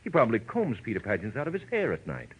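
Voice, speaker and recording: An actor playing a cold, calculating talent agent turned murderer in a 1940s radio crime drama, with controlled, emphatic delivery. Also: male voice, mid-range voice, wildly swooping pitch, fast talker, dull audio. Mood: uneasy, protesting. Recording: noisy old radio recording.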